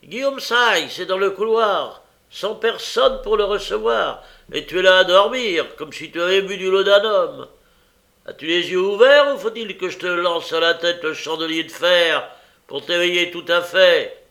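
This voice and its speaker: A man voicing a flashy, bossy housebreaker with a big, gruff voice in a storybook reading. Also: mid-range voice, very animated, a little slow, voice pushed up. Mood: impatient, mocking. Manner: loud, barking, sarcastic. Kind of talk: dramatised audiobook reading.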